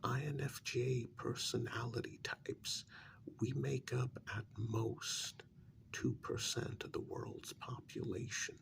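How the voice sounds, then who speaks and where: A man doing a soft-spoken ASMR role-play as a frank, patient adviser to a cursed vampire, speaking in a measured, whispery way with a gravelly fry. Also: mid-range voice, steady tone. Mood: explaining, patient. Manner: measured, deliberate, explanatory.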